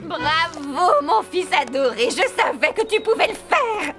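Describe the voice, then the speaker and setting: A woman's voice: very high voice, expressive, unhurried, fairly bright tone, exaggerated voice. A woman voicing a doting, haughty mother scarab beetle in a cartoon, melodramatic and gushing. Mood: proud. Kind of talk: cartoon character acting. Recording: music behind the voice.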